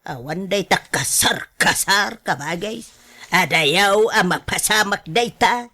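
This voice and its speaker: A woman playing a blunt, disapproving mother of a grown son in a radio drama, scolding and complaining in a drawn-out way. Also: medium pitch, animated, pushed and bright, full character voice. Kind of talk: Ilocano radio drama acting.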